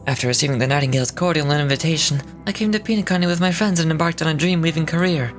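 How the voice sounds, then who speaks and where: A woman plays a courteous game dreamweaver, a romantic former sculptor with a lowered, man-like voice. He speaks in florid, formal, poetic phrases as he reads out his lines. Music plays clearly behind the voice. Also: very fast, natural voice. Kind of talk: reading game dialogue aloud.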